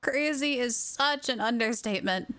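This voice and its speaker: A woman's voice: fairly high pitch, normal speed, a bit bright, clear, resonant tone. A woman livestreamer giving playful, casual game reaction commentary, repeating phrases for emphasis.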